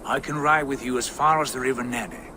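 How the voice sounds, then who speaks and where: An actor playing a commanding Viking chieftain giving brisk orders. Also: male voice, mid-range voice, steady tone, background noise, close to an everyday voice. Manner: authoritative, brisk.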